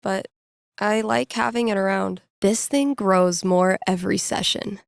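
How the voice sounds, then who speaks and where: An actress playing a sardonic, geeky young woman who works as a record store clerk and radio host, speaking in a casual, deadpan video game monologue. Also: mid-range voice, unhurried, gravelly, dry recording, clean studio sound. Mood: reflective, casual. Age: young adult. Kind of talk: naturalistic video game voice acting, muttered inner monologue.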